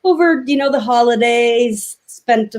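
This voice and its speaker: Reflective woman guest, halting speech. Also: on the high side. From Mexico. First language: Spanish. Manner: reflective, halting with many ums. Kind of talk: casual interview chat.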